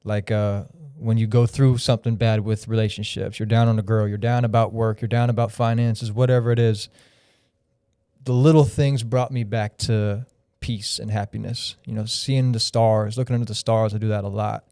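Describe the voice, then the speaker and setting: Man in his thirties, podcast guest, smooth mellow voice, rambling and reflective. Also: fairly low pitch, flat delivery, normal speed, no room sound, clean studio sound. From Florida. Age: 30s. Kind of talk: casual podcast chat.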